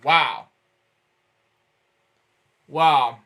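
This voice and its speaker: A man with a high-pitched voice reads a children's story aloud. His tone is bright and forward. He reads haltingly, tripping on words and drifting into little repeated comments between sentences. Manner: halting, stumbles over words and breaks off for repeated asides. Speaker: storybook reader.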